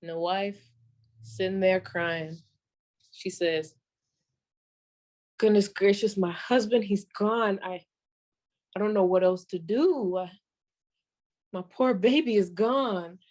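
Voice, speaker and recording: Woman playing a slow, folksy 1940s comedienne. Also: medium pitch, darkish tone, close to an everyday voice. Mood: storytelling, deadpan. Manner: folksy, drawn-out, deliberate delivery. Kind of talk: stand-up comedy storytelling.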